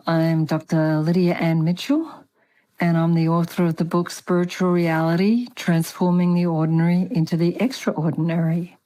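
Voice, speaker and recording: Older Australian woman, warm low voice, measured guest storyteller. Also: rough recording. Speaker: guest author. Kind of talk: prepared personal account.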